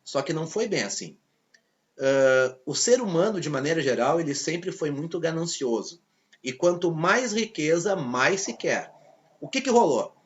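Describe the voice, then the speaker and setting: A man with a Brazilian accent teaching a history lesson, telling it as a lively story and acting out the voices of people in it. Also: on the high side, normal speed, muffled recording. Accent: Brazilian Portuguese. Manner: lively storytelling, acts out quoted characters. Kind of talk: video lesson.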